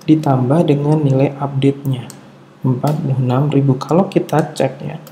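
A man narrating a step-by-step tutorial as an instructor, halting and deliberate, thinking aloud as he works. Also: mid-range voice, fairly even intonation, mellow. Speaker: accounting instructor. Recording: roomy echo, dull muffled tone, faint noise.

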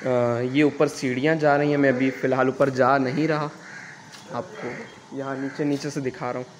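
A man's voice: mid-range voice, flat delivery, normal speed, slight background noise. A young man from Pakistan with a Karachi Urdu accent, casually narrating a walking tour outdoors into a handheld phone, hesitating often with fillers. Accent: Urdu-speaking, Karachi. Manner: hesitant, thinking aloud with frequent "uh" fillers. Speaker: student vlogger giving a campus tour. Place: outdoors on a construction site. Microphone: handheld phone camera.

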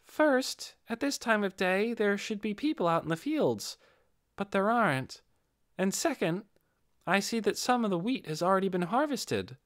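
A man's voice: high-pitched, very animated, moderate pace, fairly bright tone, no room sound, clean studio sound, voice pushed up. A man voicing a sober, watchful adventurer who explains methodically in a fantasy audiobook. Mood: serious, wary.

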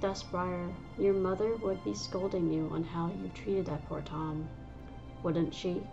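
A woman voicing a patient female cat clan leader, speaking gently and soothingly. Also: fairly low pitch, steady tone, unhurried, gravelly, muffled recording, over music. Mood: calm.

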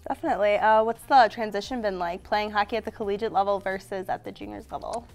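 A woman's voice: mid-range voice, steady tone, quick pace, faint music behind. A young woman, a student TV interviewer, asking friendly and upbeat questions in a studio interview. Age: young adult. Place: small TV studio with brick backdrop. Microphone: lapel mic.

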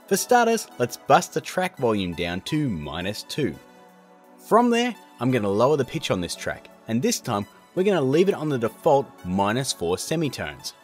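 Lively, informal male tutorial host. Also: mid-range voice, normal speed, soft background music. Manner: chatty, informal, jokey. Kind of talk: casual software tutorial narration.

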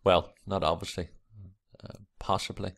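A man hosting a camera vlog, with a croaky early-morning voice, rambling and reading articles aloud with lots of fillers. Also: low voice, little pitch movement, moderate pace. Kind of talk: casual screen-recorded commentary.

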